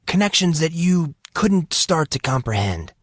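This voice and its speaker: A man's voice: on the high side, big pitch swings, unhurried, no room sound, clean studio sound, pitched above the performer's own voice. A man voicing a defensive, exasperated fourteen-year-old boy with an earnest, evasive manner in an audiobook. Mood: earnest, guarded. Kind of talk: audiobook character voice.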